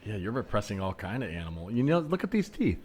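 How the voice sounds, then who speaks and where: Male improviser as earnest former hunter, lowish voice, halting delivery, slightly intense, comedy podcast sketch. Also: big pitch swings, darkish tone, slightly rough. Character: laid-back meat-eating man, former hunter, a bit intense.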